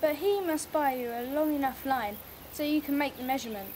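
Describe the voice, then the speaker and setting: Woman doing a smart little fairytale girl, high voice, sure of herself, bit of background noise. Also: fairly quick, darkish tone, lightly acted. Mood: confident, instructing. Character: clever, confident twelve-year-old peasant girl. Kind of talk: fairy tale character acting.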